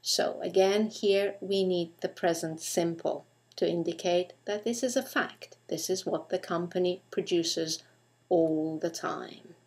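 A woman's voice: lowish voice, unhurried, a little mellow. A woman teacher narrating a scripted grammar lesson, enunciating carefully and putting questions to the listener.

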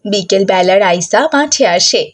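A woman's voice: medium pitch, expressive, moderate pace. A woman narrating a scripted children's story.

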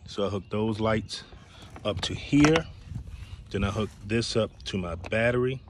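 A man's voice: lowish voice, a little slow. A man giving a casual, plain, step-by-step how-to walkthrough from behind a handheld camera outdoors. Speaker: boat-owner hobbyist giving a walkthrough. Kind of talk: casual how-to vlog narration. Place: outdoors in a grassy backyard. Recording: outdoor background noise.

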